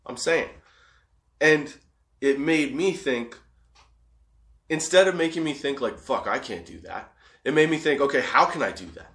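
A high man rambling casually and profanely about getting past setbacks, laughing often. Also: expressive, a little slow, long pauses, a bit bright, roomy sound. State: high on cannabis.

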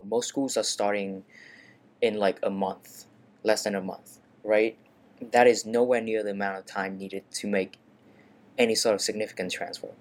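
A man's voice: lowish voice, steady tone, moderate pace. A young man from Vietnam, a university student, talking casually in an interview and tossing in rhetorical questions. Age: young adult.

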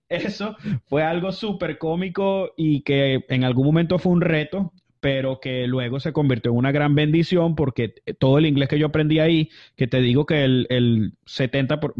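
A man from Venezuela, telling stories as an interview guest in a casual, rambling way full of fillers and restarts. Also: mid-range voice. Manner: chatty, many fillers and restarts. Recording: dull lo-fi audio.

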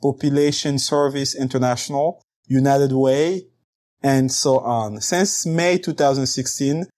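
Male interview host, upbeat and welcoming, mid-pitched. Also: steady tone, a little slow, darkish tone. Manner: upbeat, reads guest introduction. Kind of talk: podcast interview hosting.

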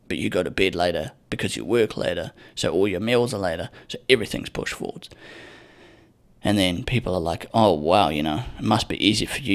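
A young man hosting his own podcast, talking casually in a profane, rambling, sardonic way into a microphone. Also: low-pitched. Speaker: podcast host. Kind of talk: casual solo podcast rant.

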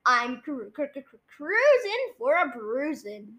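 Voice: high-pitched, sing-song, measured pace, pushed and bright. A young person, child or early teen, hosting a casual guessing game in informal chat. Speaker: podcast host.

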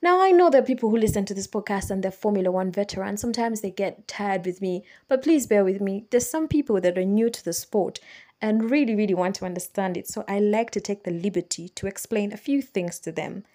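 A woman's voice: medium pitch, expressive, a little fast, warm tone. A Ugandan woman hosting a solo podcast, chatting and explaining things patiently for newcomers, correcting her own slips as she goes. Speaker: podcast host.

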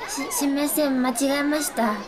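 A woman playing a coy, high-voiced alien girl. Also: fairly even intonation, moderate pace, a crowd in the background, noisy background, lightly acted. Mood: embarrassed. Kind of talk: radio drama acting.

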